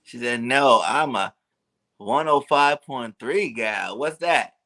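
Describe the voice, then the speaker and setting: A man's voice: mid-range voice, animated, takes it slowly, pushed and bright. A man, co-hosting a fan call-in show, teasing his partner in easygoing chat and chuckling a lot. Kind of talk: casual chat.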